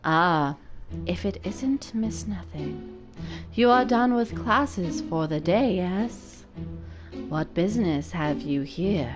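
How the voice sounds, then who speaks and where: A woman's voice: on the low side, animated, takes it slowly, music in the background. A woman voicing a cold, disdainful, secretive male school doctor with a dry, condescending manner in a visual novel. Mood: cold, displeased.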